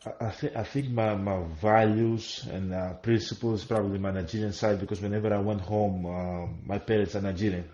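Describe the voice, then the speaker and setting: A man from Greece answering an interview question, halting with restarts and fillers. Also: lowish voice, fairly even intonation, dark tone. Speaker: athlete. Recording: dull roomy interview clip.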